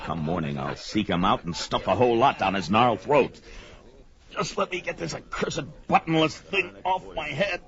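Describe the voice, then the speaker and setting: An actor playing a brawny, hot-tempered barbarian in a pulp fantasy audio drama, proud and defiant in archaic hero speech. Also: male voice, medium pitch, expressive, moderate pace, fairly bright tone. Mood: gruff indignation. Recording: dull lo-fi with music behind.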